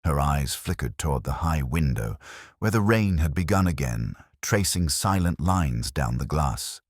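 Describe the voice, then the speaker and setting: Very deep-voiced man narrating detective fiction. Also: lively intonation, a little slow, no echo, studio quality. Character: brooding, cerebral male police detective narrating his case. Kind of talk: first-person scripted narration, slow-burning mystery.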